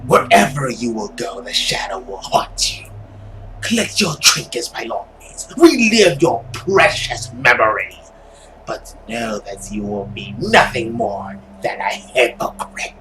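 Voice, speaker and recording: Man putting on a slow, taunting, menacing monster. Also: mid-range voice, very animated, bright, forceful tone, slight background noise, rough recording. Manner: slow, drawn-out, theatrical delivery. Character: taunting, menacing many-mouthed monster in a dream. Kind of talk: visual novel character voice acting.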